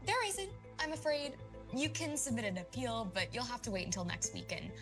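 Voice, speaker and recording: Female actor as chirpy city official, lively swoops, insincerely polite, officious, comedic radio play, music behind. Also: on the high side, a little fast. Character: chirpy, falsely sympathetic female city bureaucrat. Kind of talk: comedic audio drama acting.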